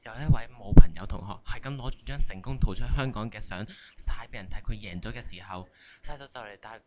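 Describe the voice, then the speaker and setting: A man's voice: on the low side, fast. A man with a Hong Kong Cantonese accent hosting a comic radio skit in playful scripted narration. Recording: amateur recording with clear background noise.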